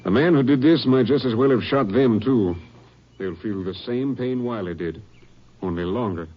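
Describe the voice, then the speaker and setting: An actor playing a grim, determined Texas Ranger captain in an old radio crime drama, stern and commanding. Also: male voice, mid-range voice, normal speed, close to an everyday voice. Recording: muffled, hissy old radio recording.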